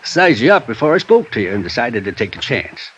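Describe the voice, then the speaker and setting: A muffled old radio recording with faint hiss brings in an aged mountain prospector. He talks in a folksy rural Western American accent at a medium pitch. He is plain-spoken and sociable, in old-time drama style. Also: very animated, fairly quick, a bit bright. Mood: friendly. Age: older. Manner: folksy, drawling backwoods talk.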